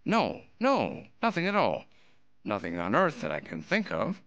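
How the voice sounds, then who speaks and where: An actor playing a cranky, stubborn old man in a radio drama, emphatic and excitable, with a sentimental streak. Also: medium pitch, measured pace, no room sound, muffled recording, heavily acted. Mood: tender. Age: older. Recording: music behind.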